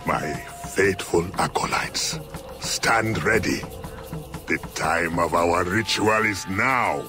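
A man's voice: sits low, slow pace, music in the background. A man voicing a grave, solemn mystic in a video game. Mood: commanding.

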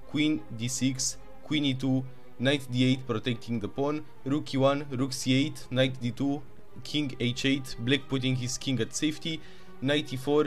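A man with a Romanian accent narrating chess games move by move, with excited asides. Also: mid-range voice. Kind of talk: chess game commentary.

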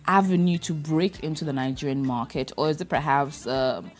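A woman's voice: low-pitched, normal speed. A young woman from Ghana hosting a TV studio interview, conversational, with supportive interjections. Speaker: TV host. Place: bright TV studio set.

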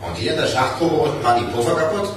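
A man acting as a blunt, worried boss in a radio crime play. His voice sits at an average male pitch and moves up and down in a lively way as he talks to his workers. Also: quick pace, a little hiss or hum, natural voice. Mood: brisk. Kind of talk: naturalistic German radio crime drama acting.

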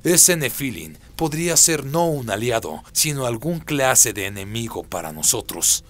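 A man's voice: mid-range voice, sing-song, moderate pace, a bit bright. A man playing a proud, impatient fallen angel who commands demons in a radio play. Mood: defiant.

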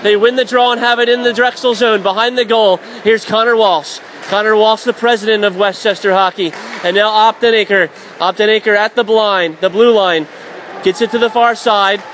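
High-voiced man calling hockey play-by-play. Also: slight background noise. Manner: rapid, rising to shouts on shots and saves. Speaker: play-by-play announcer.

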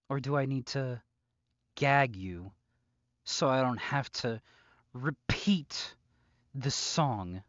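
A man playing a possessive, psychopathic captor lover in an intimate audio roleplay, mockingly sweet and taunting, moving between softness and sharpness. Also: mid-range voice, no room sound, muffled recording. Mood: bitter, threatening. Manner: drawn-out, taunting, swinging between soft whispers and sharp outbursts.

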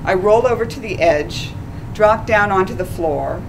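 A woman in her sixties reading her own essay aloud at a live event, slow and measured. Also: mid-range voice, animated, background noise. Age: 60s. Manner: slow, deliberate, measured delivery. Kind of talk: literary reading. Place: small stage in a dark club.

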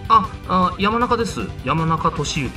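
A man acts out a high school boy in a voice drama, casual and sceptical, quick to exclaim. His high voice swings widely in pitch, heard slightly away from the mic with music behind. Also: animated, recorded from a distance. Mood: flustered. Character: flustered, flippant male high school student. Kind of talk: amateur voice drama acting.

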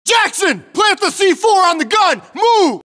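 A man's voice: extremely high pitch, very animated, unhurried, bright, forceful tone, raised voice, heavily acted. An actor playing a US Marine infantryman in a military shooter game, barking urgent battle orders and callouts. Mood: commanding. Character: young hard-charging US Marine infantryman in battle.

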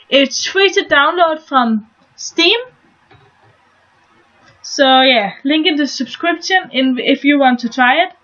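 A young woman from Denmark with a Danish accent, chatting casually and haltingly as she shows a game on her new channel, restarting her sentences often. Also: sits high, a bit bright, dull audio. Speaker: gaming channel host. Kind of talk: casual gameplay commentary.

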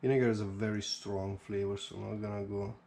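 A man's voice: on the low side, flat delivery, quick pace, a little mellow. A young man chatting casually as he cooks in his home kitchen, thinking aloud with fillers. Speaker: home cook vlogger. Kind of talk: casual cooking commentary. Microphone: head-mounted action camera. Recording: action camera audio with clear background noise.

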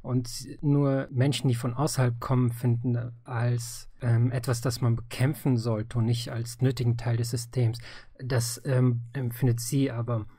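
A man's voice: mid-range voice, flat delivery, measured pace, mellow, no room sound, clean studio sound. A man acting as interviewer, asking questions and commenting in a casual, conversational style. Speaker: interviewer, audio drama creator.

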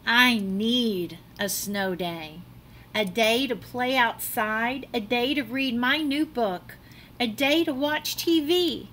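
A woman's voice: a little high, slight background noise. A middle-aged woman reads a children's picture book aloud like a teacher, dramatically and expressively, drawing out words. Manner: animated, dramatic delivery with drawn-out words. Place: small classroom, indoors.

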